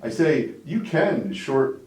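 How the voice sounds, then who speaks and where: A man's voice: on the high side, very animated, slow, darkish tone, reverberant, distant mic, a little hiss or hum, poor audio. A man in his forties from Canada, talking casually as an interview guest in a rambling way, often tagging on "right?" and "like". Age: 40s. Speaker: interview guest, business owner.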